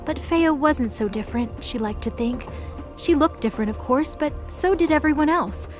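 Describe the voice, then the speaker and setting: A woman's voice: high-pitched, animated, moderate pace, a little mellow, soft background music. A woman narrator reading a scripted fantasy story.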